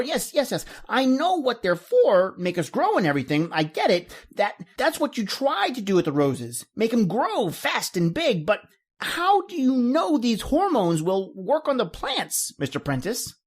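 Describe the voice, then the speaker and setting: Woman doing young boy helper, earnest, confused, repetitive, high voice, very animated, old-time radio drama. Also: breathy edge. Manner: hesitant, repetitive, puzzled questioning.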